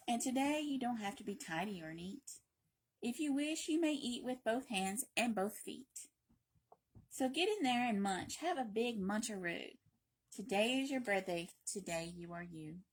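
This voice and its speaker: An exuberant, bossy birthday bird from a picture book, as a woman reads the part. The voice is fairly high and emphatic, cheering the listener on and urging them along with exclamations. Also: expressive, unhurried. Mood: jolly.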